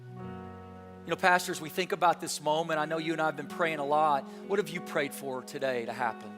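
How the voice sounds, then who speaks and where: A pastor preaching an Easter sermon, energetic and full of rhetorical questions that call the listeners to respond. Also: male voice, a little high, normal speed. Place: large church auditorium. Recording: reverberant hall with faint music.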